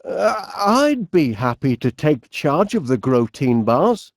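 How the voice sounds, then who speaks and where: A man's voice: a little high, sing-song, slow pace, no echo, clean studio sound, heavily acted. A man playing a cheerful middle-aged eccentric inventor in a cartoon video game, with a Northern English accent and a quaintly polite, dithering manner. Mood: polite, hesitant.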